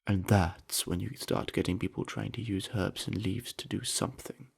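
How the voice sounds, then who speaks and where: Friendly orc student athlete, male voice actor, ASMR roleplay: husky, creaky, slow, sincere and chatty. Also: lowish voice, fairly even intonation. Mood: earnest. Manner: easygoing, chatty, earnest, with musing pauses. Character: friendly, earnest, sweaty young male orc university jock. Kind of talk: scripted ASMR roleplay addressed to the listener.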